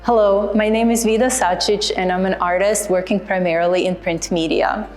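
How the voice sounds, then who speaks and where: A woman's voice: medium pitch, fairly even intonation, moderate pace, darkish tone, soft background music. A woman from Croatia, an artist speaking thoughtfully and earnestly about her printmaking in a documentary interview. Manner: thoughtful, earnest, explains with care.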